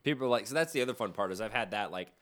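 A man's voice: lowish voice, very fast. A man co-hosting a casual podcast, teasing his friends and telling stories with a lot of laughter. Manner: animated, teasing, laughing storyteller. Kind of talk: casual banter among friends.

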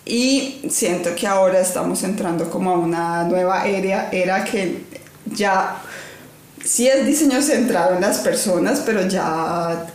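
A young woman speaks in a slightly low voice. She is reflective and easygoing, often pausing to find her words. The sound carries a noticeable room echo. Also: a little mellow. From Colombia. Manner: thoughtful, many fillers and restarts, laughs easily. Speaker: guest, designer. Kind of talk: conversational interview.

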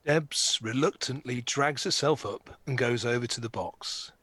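A man's voice: medium pitch, a little slow, pushed and bright, slightly rough, no echo. A man reading stage directions as scripted narration for an audio drama.

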